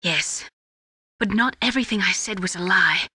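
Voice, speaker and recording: A woman voicing a secretive, sorrowful geneticist in a video game, halting and confessional. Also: medium pitch, pushed and bright, breathy edge, dry recording, studio quality. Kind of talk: dramatic video game acting. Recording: music behind.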